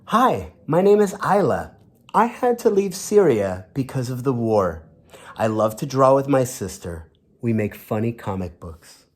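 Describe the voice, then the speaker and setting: A man's voice: medium pitch, sing-song, measured pace, darkish tone. Guy doing a little refugee kid from a picture book, earnest n friendly, really bouncy intonation. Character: young refugee children introducing themselves, earnest and friendly. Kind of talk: storybook read-aloud in character.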